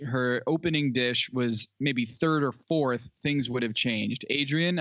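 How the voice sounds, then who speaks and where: A male podcast co-host who puts long, probing questions to his guests. His voice sits fairly high, and the recording is dry and a bit muffled. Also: a bit bright, no room sound. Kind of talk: casual podcast interview.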